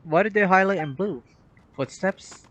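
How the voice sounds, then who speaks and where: A woman's voice: mid-range voice, normal speed, a little hiss or hum. A woman giving casual, joking commentary as she streams a video game, with game music playing behind her. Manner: joking, playful asides.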